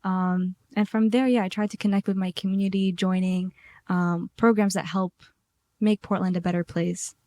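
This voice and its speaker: A woman's voice: mid-range voice, fairly even intonation, normal speed, dark tone, no echo. A nineteen-year-old woman from Iraq, a podcast guest who jokes and laughs between lines. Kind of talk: casual chat.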